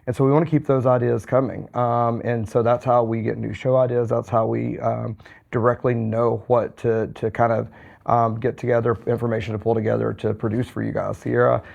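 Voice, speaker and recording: A hoarse man recovering from a cold, co-hosting a podcast in a relaxed, rambling way. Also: medium pitch, fairly quick. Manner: relaxed, rambling with many fillers and restarts. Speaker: podcast co-host, physician. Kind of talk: casual podcast chat. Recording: slightly dull lo-fi sound.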